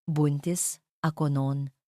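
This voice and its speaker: A woman's voice: low voice, steady tone, takes it slowly, dark tone, no echo, clean studio sound. A woman voicing a remorseful young mother in an audio drama, pleading and trembling as she confesses past pain. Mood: grieving confession.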